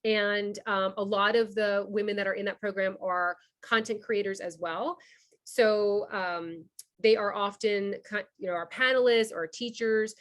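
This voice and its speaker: A woman from Cuba hosting an online member orientation, talking fast and chatty with many fillers over a video call. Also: medium pitch, steady tone, fairly bright tone. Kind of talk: casual online presentation.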